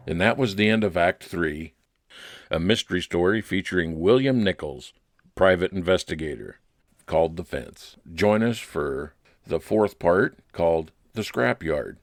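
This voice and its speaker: Very deep-voiced male radio mystery narrator. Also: moderate pace, no room sound, clean studio sound. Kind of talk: old-time radio detective narration, scripted, first person.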